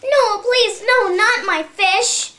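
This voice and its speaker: A woman's voice: very high-pitched, takes it slowly, bright, forceful tone, a little hiss or hum, pitched above the performer's own voice, exaggerated voice. A woman voicing a dreamy, anxious young boy in a readers theater story. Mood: pleading. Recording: echoey room.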